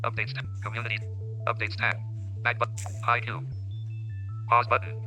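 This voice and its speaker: Bright, clipped male screen reader voice. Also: on the low side, normal speed, faint music behind. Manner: clipped, flat interface announcements.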